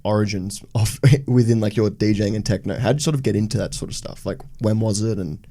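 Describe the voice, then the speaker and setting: A man with a lowish voice hosts a casual podcast interview. He asks loose, rambling questions and answers his guest with short replies. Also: fast. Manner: relaxed, brief back-channel replies. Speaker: podcast host.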